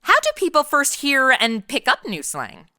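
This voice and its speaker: A woman's voice: high-pitched, wildly swooping pitch, normal speed, sharp tone, dry recording, studio quality. A woman hosting a podcast, asking curious questions to prompt her co-host. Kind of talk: scripted interview questions.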